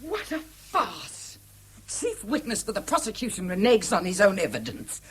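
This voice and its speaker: Radio drama: scornful English wife, mid-pitched, rough-edged voice, highly animated, emphatic and cutting delivery. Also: female voice, moderate pace. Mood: scornful, angry. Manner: biting, sarcastic, emphatic. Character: scornful, indignant wife berating her husband. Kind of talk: 1970s British radio drama acting.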